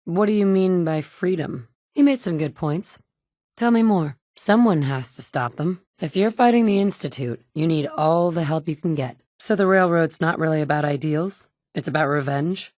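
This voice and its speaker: A woman's voice: sits low, a little mellow, no room sound, clean studio sound. An actress playing a determined, guarded woman survivor in a video game, speaking in a clipped, matter-of-fact way. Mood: measured, wary. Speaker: voice actor for a video game player character. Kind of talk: video game dialogue lines.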